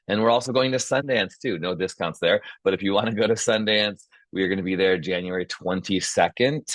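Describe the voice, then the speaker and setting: A man's voice: sits low, no room sound, lo-fi sound. A man casually hosting an online video meeting, informal and chatty with a promotional bent. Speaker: host and founder of a film community.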